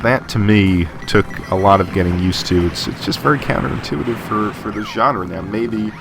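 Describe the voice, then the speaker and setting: A man's voice: fairly low pitch, moderate pace, creaky, background noise. A man doing a casual voice-over review of old console games, thinking aloud with fillers and restarts. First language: English. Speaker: game reviewer. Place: voice-over over game footage.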